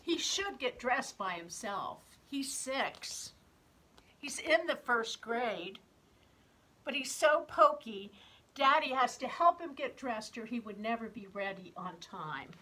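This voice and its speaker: Woman reading as a bright-voiced, indignant young child. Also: a little high, animated, slow, heavily acted, low-quality recording. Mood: exasperated. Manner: whiny, emphatic complaining. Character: jealous, indignant eight-year-old third grader griping about younger brother. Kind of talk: expressive children's picture book reading.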